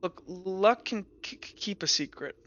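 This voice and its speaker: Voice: mid-range voice, lively intonation, a bit bright, lo-fi sound. A player voicing a hesitant, loyal teenage street orphan who stammers and halts in a tabletop game. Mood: defensive, halting. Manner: stammering, halting.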